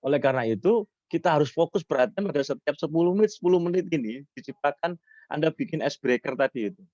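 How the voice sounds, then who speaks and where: Hoarse man, public speaking lecture, dry close sound, dull lo-fi recording. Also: animated, fast, darkish tone, dry recording. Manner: rising pitch when stressing points, mixes Javanese words. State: hoarse from illness.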